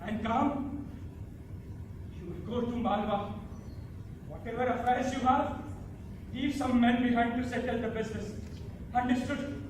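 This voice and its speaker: Guy playing ruthless emperor in a play, really high male voice, bossy n grand, noisy recording. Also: measured pace, pauses often. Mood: stern, quiet. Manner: imperious, declamatory. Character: ruthless, pious Mughal emperor, commanding and domineering father. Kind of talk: theatrical stage acting. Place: indoor theatre stage, picked up from afar. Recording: distant, echoey theatre hall, clear noise.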